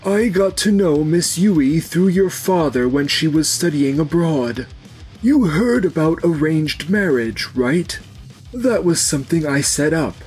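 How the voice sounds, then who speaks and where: A man's voice: a little high, expressive, unhurried, darkish tone, husky, faint music behind. A man voicing a stern, authoritative elderly grandfather in a manga dub, lecturing his family. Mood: explaining, matter-of-fact. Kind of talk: anime-style manga dub acting.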